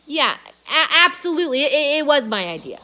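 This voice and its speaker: A woman's voice: high voice, very animated, fairly quick, pushed and bright, pitched above the performer's own voice, full character voice. A woman voicing a blustering, opportunistic male mall owner with brash salesman talk, in a children's novel read aloud. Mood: eager, boastful.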